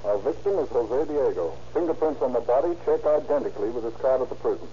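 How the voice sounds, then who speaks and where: Radio police underling played by a man, quick, very dark tone, eager, formal reporting. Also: medium pitch, animated, soft background music, lightly acted. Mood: eager, official. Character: eager male police subordinate reporting to his captain. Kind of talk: 1930s radio acting. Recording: muffled, noisy old radio recording.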